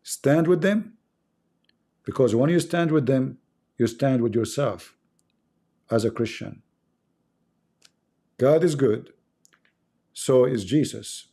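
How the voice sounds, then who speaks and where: A man's voice: medium pitch, slow, long pauses, warm tone. A man from the Middle East whose first language is Arabic, speaking English with an Arabic accent as he delivers an impassioned religious monologue on his livestream. He talks emphatically and preaches, repeating phrases for effect. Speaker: livestream host.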